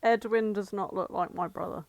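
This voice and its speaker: A woman's voice: medium pitch, fairly even intonation, normal speed, gravelly, darkish tone, no room sound. A woman co-hosting a casual chat, bantering with playful protest and swearing. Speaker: podcast co-host.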